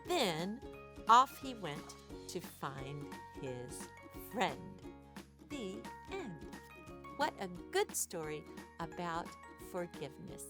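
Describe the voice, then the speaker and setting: A woman hosting an interactive read-aloud for young children, exaggerated and questioning as she addresses listeners directly. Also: on the low side. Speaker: children's storytime host.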